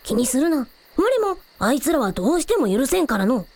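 A tiny dragon prince, extremely high and full of swooping pitch. He talks in quaint, lordly old-fashioned phrases like a proud but kindly child in a radio drama. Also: big pitch swings, fairly quick, a little mellow, no room sound. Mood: proud, reassuring.

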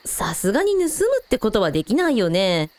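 A woman playing a calm, supportive older-sister shrine maiden with an easygoing, reassuring manner in a radio drama. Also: medium pitch, a little fast, no echo.

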